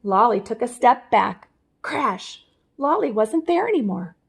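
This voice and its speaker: Lively, high-pitched woman reading a children's story. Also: measured pace, slightly rough. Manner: expressive, theatrical emphasis. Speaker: storybook reader. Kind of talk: animated children's read-aloud.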